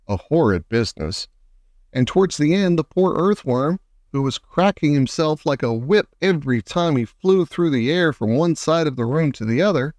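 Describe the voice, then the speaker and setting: A man reading a children's storybook aloud as an expressive narrator. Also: medium pitch, no echo, clean studio sound. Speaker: audiobook reader.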